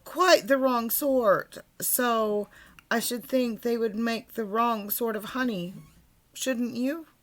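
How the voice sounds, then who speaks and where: Woman acting a little male toy bear, storybook character, earnest, slightly pompous, muddled, raised pitch. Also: slow. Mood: solemn, decided.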